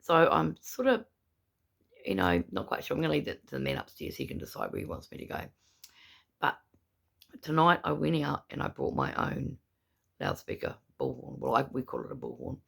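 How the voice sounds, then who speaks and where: Brief: gravelly, husky-voiced speaker with a New Zealand accent, gummy without teeth, indignant protester monologue. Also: mid-range voice, wildly swooping pitch, moderate pace, fairly bright tone. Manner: indignant, repetitive, emphatic. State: gummy speech, no dentures in. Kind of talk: angry ranting monologue.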